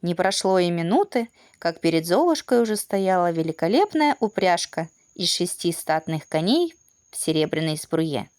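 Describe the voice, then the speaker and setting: A woman narrating a children's fairy tale as an audiobook, in an expressive, measured bedtime-story manner. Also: on the low side, dry recording, studio quality.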